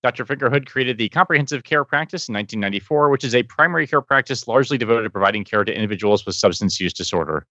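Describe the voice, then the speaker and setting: Brisk man reading an introduction. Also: mid-range voice, animated, sharp tone, no echo. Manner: brisk, upbeat reader. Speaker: podcast co-host. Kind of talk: reading a guest introduction, casual chat. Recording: slightly dull audio.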